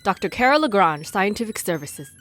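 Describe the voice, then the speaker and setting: A woman's voice: mid-range voice, big pitch swings, brisk, fairly bright tone, faint music behind. A woman playing a manic, cheerfully unhinged scientist with breezy folksy exclamations in a comedic audio drama. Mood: chirpy, loopy.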